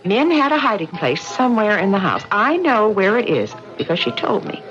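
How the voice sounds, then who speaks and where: Actress playing a determined woman sleuth, animated voice. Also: medium pitch, moderate pace, music in the background, close to an everyday voice. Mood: resolute. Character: determined woman sleuthing her friend's murder. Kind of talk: old-time radio drama acting. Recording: muffled lo-fi radio broadcast.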